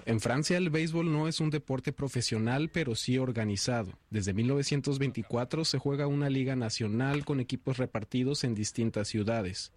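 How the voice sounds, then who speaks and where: A man narrating a news feature in a measured, even way. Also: mid-range voice. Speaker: reporter.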